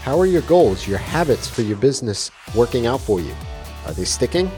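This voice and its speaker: A man's voice: mid-range voice, sing-song, a little fast, dark tone, faint music behind. A man with a stuffy, congested voice from a cold, hosting a solo podcast and talking straight to his listeners in a friendly way. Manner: friendly, direct address to listeners. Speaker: podcast host.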